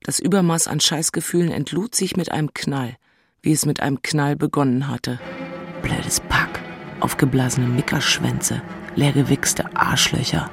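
An actress playing a lovesick, volatile single woman, husky-voiced, in a literary audio drama. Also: a bass voice, steady tone, measured pace, soft background music. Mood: angry.

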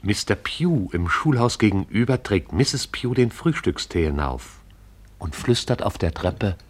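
A man giving brisk, vivid scripted narration for a radio play. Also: lowish voice, animated, slightly rough.

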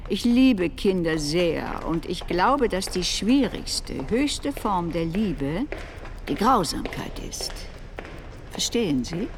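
An actress playing a prim, cruel schoolteacher and informer in a German radio play, confiding in a deliberate, drawn-out way. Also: female voice, on the low side, very animated, slight background noise, heavily acted. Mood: wounded, self-righteous.

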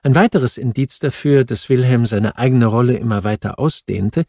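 Mellow male audiobook narrator. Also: mid-range voice, moderate pace, no echo, clean studio sound. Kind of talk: scripted nonfiction audiobook narration.

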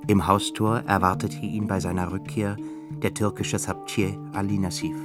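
Measured male narrator over music. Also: low voice, little pitch movement. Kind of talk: scripted radio play narration. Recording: narration with clear music beneath.

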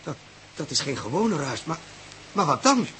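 An actor playing an earnest, probing spaceship captain in 1960s radio drama style, speaking in intense, halting, questioning phrases. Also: male voice, on the high side, sing-song, fairly bright tone, a little hiss or hum. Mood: astonished.

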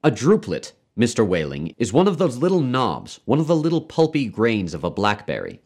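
A man's voice: lowish voice, expressive, moderate pace, pitched above the performer's own voice, natural voice. A man voicing a very old, pompous male doctor who lectures with grand emphasis, in a story reading. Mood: stern lecturing. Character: pompous, preachy, very old male doctor championing population control.

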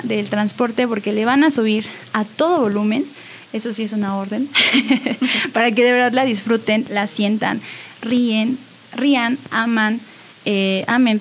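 A woman's voice: fairly high pitch, a little hiss or hum. A woman hosting a radio show in an earnest, encouraging, conversational way, with small giggly asides. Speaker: radio host. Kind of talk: warm conversational radio sign-off.

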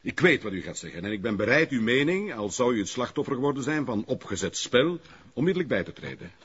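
Husky, pompous Flemish burgomaster. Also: male voice, medium pitch, very animated, fast, fairly bright tone, exaggerated voice. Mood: formal, firm. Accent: Flemish Belgian. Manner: formal, wordy officialese. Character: pompous, evasive middle-aged male burgomaster. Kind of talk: TV crime drama acting. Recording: muffled, lo-fi broadcast audio.

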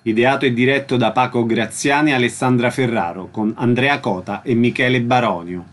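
A man presents on radio. His voice sits at a middle pitch as he reads a scripted announcement. Faint music plays underneath. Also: fairly quick. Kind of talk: scripted announcement of theatre credits.

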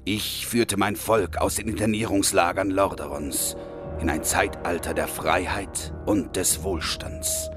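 Guy doing a really deep slow orc warchief, pompous and grumbly, loud music behind. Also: steady tone. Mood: solemn, proud. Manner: solemn, pompous, aggrieved. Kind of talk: parody fantasy audio drama monologue.

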